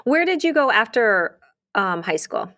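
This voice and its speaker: A woman's voice: on the high side, big pitch swings, measured pace, bright, forceful tone. A woman hosting a casual interview, asking questions in an encouraging way. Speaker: interviewer, host.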